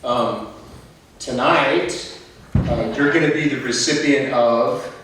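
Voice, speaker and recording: A man's voice: mid-range voice, slow. A man hosting an event, giving formal thanks and a presentation with some hesitations. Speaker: event host. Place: on stage in a busy screening venue. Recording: echoey, noisy hall, distant, loud crowd.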